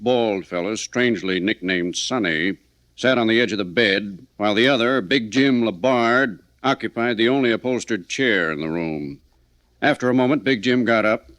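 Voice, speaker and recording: An actor playing an earnest police chief who narrates a case in scripted 1940s radio style. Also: male voice, lowish voice, fairly bright tone, no room sound, lightly acted.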